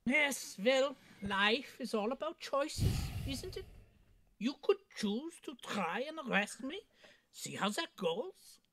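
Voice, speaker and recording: A woman playing a smug, taunting villain in a theatrical, drawn-out way at a comedy table read. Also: high-pitched, sing-song, fairly bright tone, full character voice. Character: smug taunting villain, an old rival of a cop. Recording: video call.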